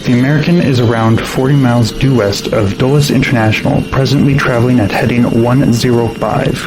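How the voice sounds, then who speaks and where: A man playing a steady air traffic controller in an audio drama, clipped and procedural. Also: mid-range voice, fairly quick, natural voice. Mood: focused. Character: steady male air traffic controller guiding fighter jets. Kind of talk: audio drama radio acting. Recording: loud vehicle-like noise behind.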